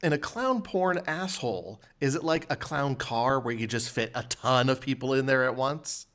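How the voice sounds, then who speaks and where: Mid-pitched man hosting a comedy podcast. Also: lively intonation, moderate pace, fairly bright tone. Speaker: podcast host. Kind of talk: casual comedic chat.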